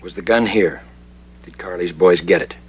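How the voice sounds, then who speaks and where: An actor playing a cool, menacing masked vigilante posing as a crime boss in a 1960s TV crime drama, speaking in clipped, unhurried threats. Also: male voice, low-pitched, slightly rough, dull audio. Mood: cool menace. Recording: humming, noisy old TV soundtrack.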